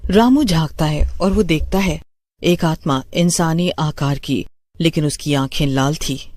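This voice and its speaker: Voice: mid-range voice, measured pace, a little mellow, no echo. A narrator reading a scripted horror story.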